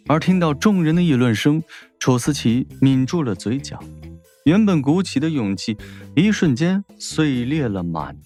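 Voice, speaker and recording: A man's voice: medium pitch, darkish tone. A man reading a novel as a dramatic scripted narration. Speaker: audiobook narrator.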